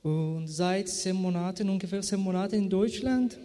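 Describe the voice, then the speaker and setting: A man's voice: high voice, moderate pace, heavy background noise. A man in his twenties from Iran giving an earnest, prepared testimony before his baptism. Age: 20s. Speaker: baptism candidate. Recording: long church reverb.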